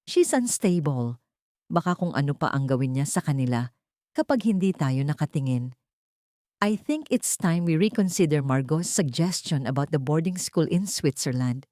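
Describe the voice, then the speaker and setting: A woman's voice: low voice, animated, moderate pace, dark tone, dry recording, studio quality. A woman voicing a stern wealthy grandmother in an audio drama, cold, indignant and imperious. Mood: cold indignation. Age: older.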